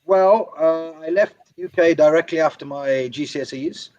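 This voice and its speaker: A man from Ireland and Birmingham tells personal stories as a show guest. His voice sits slightly high, and he speaks reflectively. The sound is dry and a bit dull. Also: moderate pace, a little mellow, no echo. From Ireland and Birmingham, England. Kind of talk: personal storytelling in an interview.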